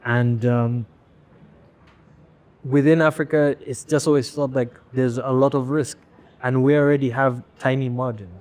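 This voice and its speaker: A man from Rwanda, a fashion brand founder, answering interview questions candidly in a halting way with frequent restarts. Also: mid-range voice, fairly even intonation, a little mellow.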